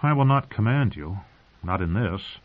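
A man's voice: fairly low pitch, lively intonation, normal speed, phone-quality sound. Male reader as young fantasy king, cautious, formal, authoritative, weary, thin band-limited recording. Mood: weary, firm.

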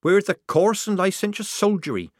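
A man's voice: high voice, animated, normal speed, dry recording, pitched above the performer's own voice. A man voicing a practical young woman disguised as a male soldier, speaking dryly and reasonably. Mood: wry. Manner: dry, reasonable. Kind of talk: audiobook character voice.

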